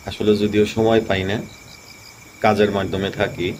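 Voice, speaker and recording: Low voiced guy rambling thru a ghost story, crickets + noise behind. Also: flat delivery, measured pace, darkish tone. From Tangail, Bangladesh. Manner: rambling, many fillers and restarts. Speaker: channel host. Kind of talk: casual storytelling.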